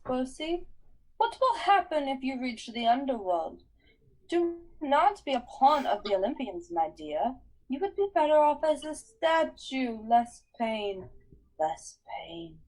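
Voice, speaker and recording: A woman voicing an ancient female monster who coaxes with a soft, purring menace, as in an audiobook. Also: on the high side, a little slow, close to an everyday voice, poor audio. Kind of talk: audiobook character voice.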